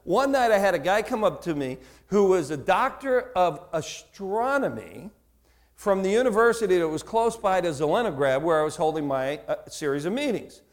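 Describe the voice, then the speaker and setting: A high-pitched man with a New Jersey accent preaches with a voice that leaps up and down. He tells his stories animatedly, acting out every side of the dialogue and often repeating his words as he goes. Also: sing-song, normal speed. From United States. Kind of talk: sermon with storytelling.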